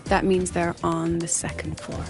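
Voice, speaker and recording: An actress playing a shrewd, scheming academy professor who talks in a coaxing, conspiratorial way. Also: female voice, lowish voice, flat delivery, measured pace, creaky, music in the background. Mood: earnest. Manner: coaxing, conspiratorial, slightly awkward. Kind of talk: video game voice acting.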